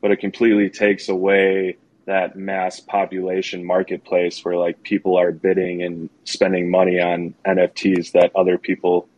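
Low, level-toned man, casual podcast co-host, hedging a lot. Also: normal speed, hardly any pauses, low-quality recording. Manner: relaxed, hedging, many fillers. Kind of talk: casual chat.

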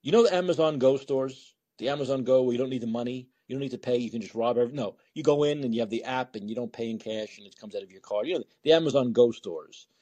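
A man's voice: mid-range voice, quick pace, hardly any pauses, warm tone. A man hosting a call-in talk show, blunt and sarcastic, rambling freely through his opinions in live monologue.

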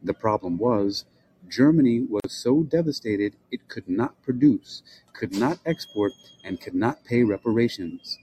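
An older man hosting a podcast, reading a prepared essay aloud with measured, rhetorical question-and-answer pacing. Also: on the low side, expressive, mellow, breathy edge, low-quality recording. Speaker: podcast host.

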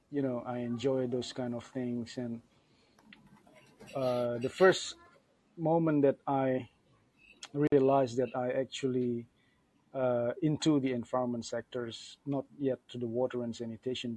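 A man with an Indonesian accent speaks as a guest on a podcast, telling a story from his childhood. His voice is mellow and sits in the middle range, and the sound is close and dry. He talks in a friendly, chatty way with plenty of fillers. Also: mid-range voice, flat delivery, measured pace, no room sound, low-quality recording. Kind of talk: casual storytelling.

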